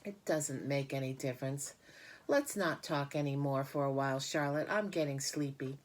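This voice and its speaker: A woman's voice: extremely low pitch, moderate pace. Woman voicing an eager, anxious young pig. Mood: sleepy, content. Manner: earnest, pleading, childlike questioning.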